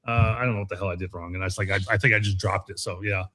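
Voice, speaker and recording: A man's voice: low-pitched, fairly even intonation, very fast, pushed and bright, a little hiss or hum. A man hosting a casual panel chat in an informal, self-deprecating way. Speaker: show host.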